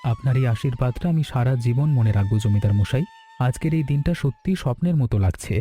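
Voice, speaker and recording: A man's voice: medium pitch, a little fast, warm tone, faint music behind, close to an everyday voice. A man playing an earnest, polite village hotel owner in a cartoon moral story. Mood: grateful.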